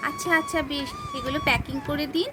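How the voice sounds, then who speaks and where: A woman's voice: high-pitched, big pitch swings, fast talker, background noise. A woman voicing chatty, admiring lady dolls out shopping in a children's story. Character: keen adult women dolls shopping at a fair. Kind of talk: children's doll-play story.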